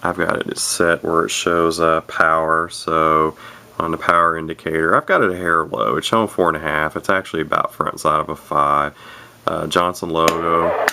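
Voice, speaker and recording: Very low-voiced gravelly man, casual and folksy. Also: steady tone, normal speed, sharp tone. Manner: rambling, folksy, self-correcting. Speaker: hobbyist radio repairer presenting his own video. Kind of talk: casual hands-on repair narration. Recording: noisy handheld camera home video.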